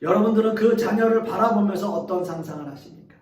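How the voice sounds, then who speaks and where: A man's voice: sits high, fast, darkish tone, echoey room, far from the mic. A man, a pastor, preaching a sermon and earnestly addressing the congregation.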